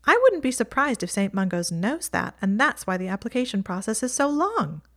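A woman's voice: a little high, big pitch swings, fairly quick, voice pushed up. A woman voicing a chatty, teasing young witch girl who speaks in a lofty, blunt way. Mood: earnest.

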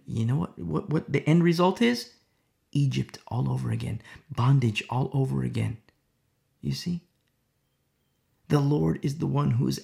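A man preaching through a Bible passage with a gravelly, fry-edged voice. He talks in a rhetorical way, keeps asking his listeners "you see?", and sometimes sinks into quiet, hushed asides. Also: mid-range voice. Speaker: Bible teacher. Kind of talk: verse-by-verse sermon teaching.

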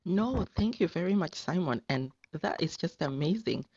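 A woman's voice: fairly low pitch, animated, normal speed, a little mellow, breathy edge, no echo. Woman, encouraging group-chat speaker, emphatic and informal; dry, muffled lo-fi sound. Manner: emphatic, repeats words for emphasis. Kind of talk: casual, encouraging talk.

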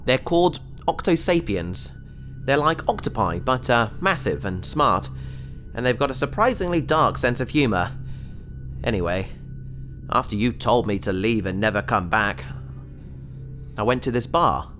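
A man's voice: medium pitch, expressive, a little slow, fairly bright tone, faint music behind. An actor playing a naive, cheerful young man trapped in an alien's fish tank, rambling and talking his way around trouble in a sci-fi audio drama. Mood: breezy. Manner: naive, breezy, rambling and self-justifying.

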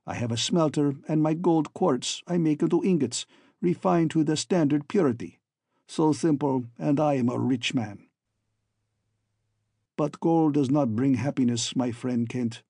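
A man's voice: fairly high pitch, measured pace, gravelly, warm tone, breathy edge, no echo, studio quality, heavily acted. A man voicing a falsely friendly, scheming hunchback villain in a story, oily and ironic, with stilted foreign-sounding phrasing. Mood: boastful, smug.

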